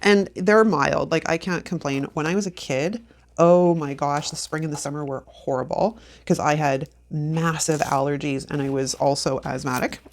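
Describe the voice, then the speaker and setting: A woman's voice: low voice, moderate pace. A woman in her fifties, sniffly from allergies, chatting casually to viewers while she decorates a planner, talking to herself and laughing often. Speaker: planner vlogger. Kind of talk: casual plan-with-me chat.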